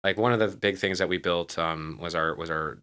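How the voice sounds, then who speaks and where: A man's voice: low voice, brisk, bright, forceful tone, smooth. A man in his forties speaking informally and emphatically in a conversational interview, as a real estate team leader who is a guest. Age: 40s.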